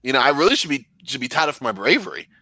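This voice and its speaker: Exasperated stuffy-nosed man, lively voice. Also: mid-range voice, very fast, no room sound. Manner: defensive, exasperated. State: stuffy with sniffles. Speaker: podcast co-host. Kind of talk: casual banter. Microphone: headset.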